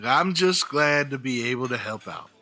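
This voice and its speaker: An actor playing a two-faced mission volunteer and schemer in a comedy radio drama in an old-time style. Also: male voice, medium pitch, lively intonation, normal speed, bright, forceful tone, soft background music. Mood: fawning, polite. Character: fawning mission volunteer hiding a bitter, scheming villain.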